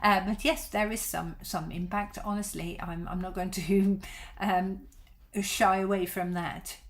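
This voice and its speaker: A woman's voice: medium pitch, little pitch movement, measured pace. A middle-aged woman whose first language is Dutch, a violin teacher chatting on a live stream and answering viewer questions in a reassuring way.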